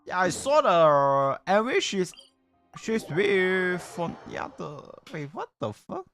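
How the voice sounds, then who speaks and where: A man hosts a casual game stream over music. His delivery is slow and halting, with repeated words and offhand swearing. His pitch moves around a lot, and his English phrasing is loose and non-native. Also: very animated, a bit bright. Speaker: livestreamer hosting a game stream. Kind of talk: casual livestream game chat.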